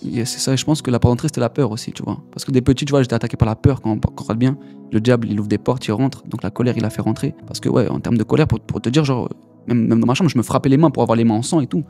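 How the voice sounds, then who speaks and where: A man's voice: mid-range voice, very fast, warm tone, faint music behind. A young man in his twenties from the Paris region, giving a casual personal testimony in a slangy way, often repeating his words. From Paris region, France. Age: 20s.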